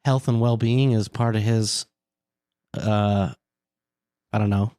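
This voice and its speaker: A man playing in a tabletop game, talking casually and haltingly as he searches for his words. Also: lowish voice, steady tone, warm tone, clean tone, no echo, studio quality. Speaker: player. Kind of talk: casual chat at the gaming table.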